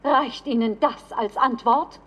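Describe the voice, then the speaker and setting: A woman's voice: fairly high pitch, a little slow, a bit bright, breathy edge. A woman playing a haughty screen actress delivering formal, theatrical, scornful dialogue in a radio play. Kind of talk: theatrical 1930s film dialogue.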